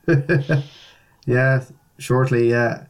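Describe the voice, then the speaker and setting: Irish male co-host, mid-pitched, relaxed, laughs a lot, lots of ums. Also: very slow. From Ireland. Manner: laid-back, laughs often, lots of fillers. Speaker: podcast co-host. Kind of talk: casual podcast chat.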